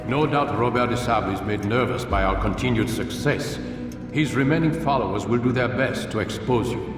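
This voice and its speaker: An actor playing a calm, grave older master of an assassin order, speaking in a measured, oratorical way for a video game. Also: male voice, medium pitch, close to an everyday voice. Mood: grave, commanding. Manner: measured, sage-like, deliberate, oratorical. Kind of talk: dramatic video game cutscene acting. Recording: echoey hall, distant, music underneath.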